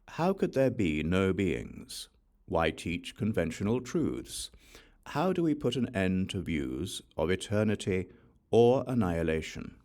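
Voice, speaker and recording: Man, very low voice, gravelly creak, solemn scripture narration, deliberate delivery. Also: darkish tone. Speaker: audiobook reader. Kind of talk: scripted scripture narration, reciting verse questions.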